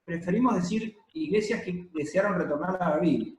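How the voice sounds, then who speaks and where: Male preacher, higher register, teaching sermon delivery with hesitant pauses, into a mic in a reverberant hall. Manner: explanatory teaching, frequent pauses and fillers. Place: church hall, congregation present and streamed. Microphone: handheld or stand microphone. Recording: echoey room.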